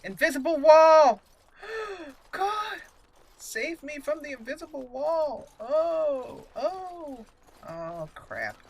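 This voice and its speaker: A woman giving playful, expressive let's play commentary, chatting and thinking aloud as she explores a game. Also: high-pitched, very slow. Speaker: gaming video host.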